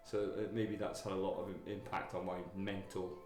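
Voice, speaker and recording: A man from Wales, a guest telling his own story in a candid interview, halting, with many fillers and restarts. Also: low-pitched, little pitch movement, a little mellow. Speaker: guest, army veteran. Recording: echoey room with music behind.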